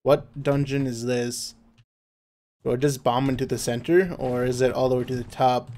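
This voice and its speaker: Laid-back monotone young man. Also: mid-range voice, moderate pace. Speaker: gaming YouTuber. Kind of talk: casual gameplay commentary.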